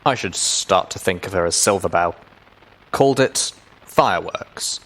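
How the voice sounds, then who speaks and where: Male narrator, fairly low voice, scripted first-person storytelling, audiobook style. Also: lively intonation, measured pace, a bit bright, low-quality recording.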